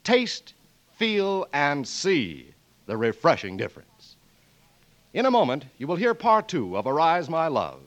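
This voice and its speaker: A man's voice: a little high, huge pitch swings, a little slow, lo-fi sound. A man announcing in a 1940s radio style, reading scripted sponsor copy with polished, emphatic delivery.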